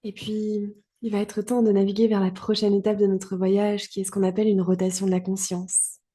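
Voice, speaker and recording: A woman leads a guided yoga relaxation in a dark, relaxed voice. Her pitch stays very even and sits in a middle register. She speaks calmly and soothingly, leaving long pauses between short phrases.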